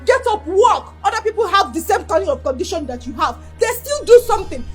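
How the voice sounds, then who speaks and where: Brief: a woman as a high-voiced, nagging wife, loud and scornful, over music. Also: very animated, normal speed. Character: scolding wife demanding money from injured husband.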